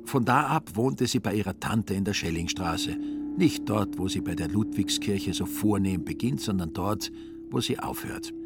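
A man with a fairly low voice narrates a radio play. He tells the story in a dry, ironic way, with music clearly playing behind him. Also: lively intonation, normal speed.

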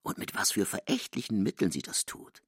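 An actor playing a defensive, embittered lover pleading his case in a literary audio drama, gravelly and husky. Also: lowish voice, huge pitch swings, fairly quick, a bit bright, dry recording, clean studio sound, heavily acted. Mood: defensive, bitter.

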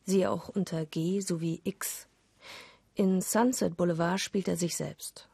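A woman's voice: fairly low pitch, fairly even intonation, a little slow, gravelly, mellow, breathy edge, no echo, clean studio sound. A woman narrating a scripted radio play as a storyteller.

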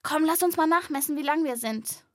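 A woman narrates a children's animal podcast in a lively, playful way, speaking straight to young listeners. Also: high-pitched, a little fast, no echo, studio quality.

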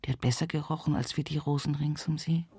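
An actress playing an earthy rural daughter reminiscing at her mother's sickbed in a radio play monologue, intimate and halting, with an Upper Palatinate Bavarian accent. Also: sits low, steady tone, mellow, husky, no echo, clean studio sound. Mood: hushed reminiscing.

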